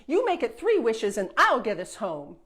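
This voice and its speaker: A woman voicing a spoiled, lying young princess who talks in a shrill, demanding, whiny way. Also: huge pitch swings, moderate pace, a bit bright, slightly rough, heavily acted.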